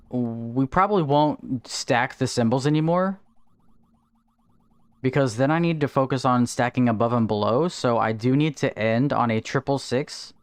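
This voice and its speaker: A man streaming a game live from home, chatting casually, answering viewers and thinking out loud about his strategy. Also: mid-range voice, clean tone, dry recording. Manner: chatty, answers viewer chat while playing, thinks aloud. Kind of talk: casual livestream gameplay chat. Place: home, in Texas.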